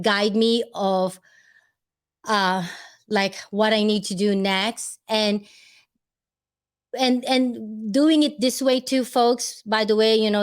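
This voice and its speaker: A woman with a Filipino accent talking casually to her viewers, rambling and stopping often to search for the right words. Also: medium pitch. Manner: chatty, laughs easily. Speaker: vlogger giving advice. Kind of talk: casual talk to camera.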